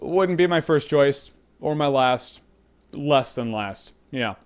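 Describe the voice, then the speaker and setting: A man in his thirties giving an animated, sarcastic movie review to camera, slipping into mock voices. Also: medium pitch, takes it slowly. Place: studio with red backdrop.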